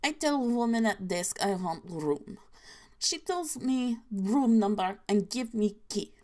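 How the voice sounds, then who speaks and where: Woman doing a deadpan mock-Soviet storyteller. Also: medium pitch, slow. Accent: mock Russian. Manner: deadpan, clipped broken grammar. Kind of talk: reading creepy stories aloud.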